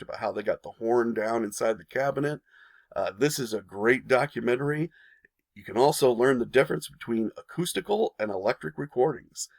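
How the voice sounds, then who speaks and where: A man's voice: mid-range voice, creaky, dry recording. A man hosting a history channel, reading a narrated lesson and turning to casual asides for viewers.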